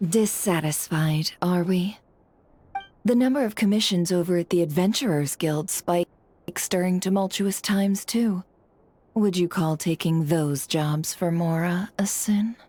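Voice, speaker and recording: A woman's voice: lowish voice, measured pace, a little mellow, a little hiss or hum, natural voice. A woman playing a shrewd, coolly polite intelligence broker in a video game, composed and coy. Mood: persuasive, cool. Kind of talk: video game character dubbing.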